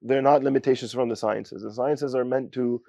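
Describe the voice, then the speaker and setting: Hesitant young man, dark voice. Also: medium pitch, fairly even intonation, normal speed, creaky, no echo, clean studio sound. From United States. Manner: hesitant, many fillers, sprinkles Arabic phrases. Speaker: student giving a testimonial. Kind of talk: casual spoken testimonial.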